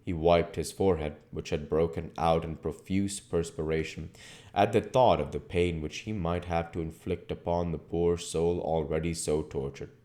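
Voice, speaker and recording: A man reading a classic novel aloud as an amateur audiobook reader, in a measured, formal way. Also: deep voice, fairly even intonation, dark tone.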